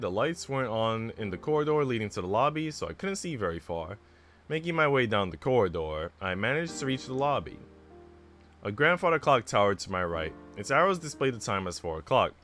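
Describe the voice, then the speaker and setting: A man talks and narrates as a game streamer, with a mid-pitched voice that moves around expressively. His delivery is conversational and musing, shifting into storybook-like reading of a visual novel. Music plays behind him. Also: very animated, a little fast, a bit bright. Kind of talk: casual livestream chat mixed with expressive story narration. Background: background music.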